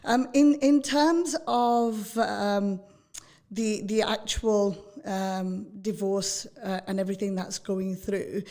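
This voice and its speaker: Loud chatty woman hosting a radio chat, warm mellow tone. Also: medium pitch. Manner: naturally loud, chatty and candid. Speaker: radio host. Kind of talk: casual radio interview chat.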